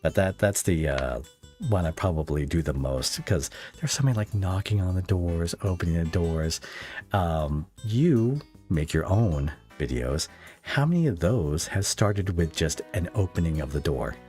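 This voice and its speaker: Young male podcast host, deep voice, hesitant laid-back delivery over a faint music bed. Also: expressive, unhurried, a little mellow. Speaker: podcast interviewer, ASMR audio creator. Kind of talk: casual interview chat.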